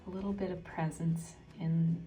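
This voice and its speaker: Lowish voiced woman photographer just musing out loud, bit far from the mic, room echo. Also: level tone, normal speed. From Japan. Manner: informal, musing aloud. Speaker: photographer presenting a studio video. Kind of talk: casual chatty vlog. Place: indoor studio. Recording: roomy, distant mic, music behind.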